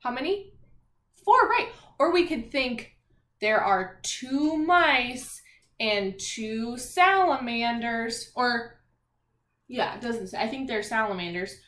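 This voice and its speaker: A woman's voice: fairly high pitch, a bit bright. A woman elementary teacher leading a read-aloud math lesson for young children, speaking in an encouraging, singsong, questioning way. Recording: echoey room.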